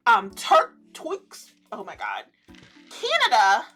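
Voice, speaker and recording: A woman streamer reading a visual novel aloud in an animated let's-play style, breaking into giddy, giggly reactions and commentary. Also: mid-range voice, slow, soft background music.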